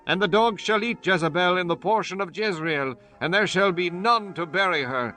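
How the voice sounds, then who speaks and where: A man voicing a fierce young prophet in a dramatized scripture reading, loudly declaiming divine judgment. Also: high-pitched, normal speed, pitched above the performer's own voice. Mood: fierce, solemn. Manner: loud, declamatory. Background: music.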